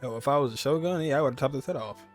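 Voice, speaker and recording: Guy streaming a game, chill slangy reactions, normal pitch, bit of music behind. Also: fast talker. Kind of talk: casual let's play commentary. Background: video game music.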